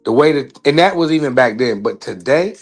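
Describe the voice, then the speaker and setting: A man co-hosting a live boxing debate, steady and moderating, asking others to keep the talk clean. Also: medium pitch, brisk.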